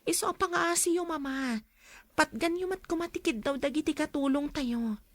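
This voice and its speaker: A kind young rich daughter in a radio drama, acted by a woman. Her voice is high and closely recorded with a dry sound, and she speaks earnestly, pleading. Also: moderate pace, no room sound, close to an everyday voice. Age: young adult. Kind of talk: Ilocano radio drama acting.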